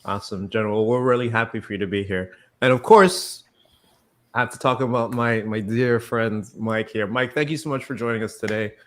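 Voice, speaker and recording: A man's voice: fairly low pitch, fairly even intonation, fairly quick, a bit bright. A man hosting a casual developer livestream, upbeat and welcoming as he introduces his guests. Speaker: livestream host, developer advocate.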